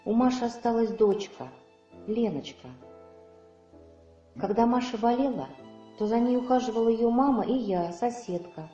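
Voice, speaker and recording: An actress playing an elderly woman neighbour who gravely reads out her letter in a radio play. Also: a little high, expressive, normal speed, long pauses, dark tone, close to an everyday voice. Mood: sombre, measured. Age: older. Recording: dull muffled sound, roomy echo, music behind.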